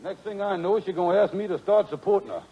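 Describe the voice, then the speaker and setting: An actor playing a lazy, wisecracking schemer of a husband in 1940s radio comedy, with folksy ungrammatical dialect. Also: male voice, sits high, fast talker. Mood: grumbling, scheming. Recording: muffled, noisy 1940s radio recording.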